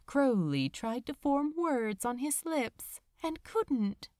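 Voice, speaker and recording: A woman reading a romantic story aloud as a narrator, with a dramatic, tender and measured delivery. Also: high-pitched, very animated, darkish tone, no echo, clean studio sound. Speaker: fan fiction audiobook narrator. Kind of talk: expressive scripted story narration.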